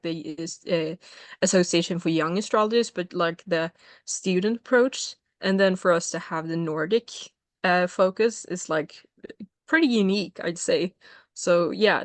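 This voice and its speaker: A young non-binary student chairing a casual meeting on a video call, talking with many pauses and fillers. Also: medium pitch, no echo.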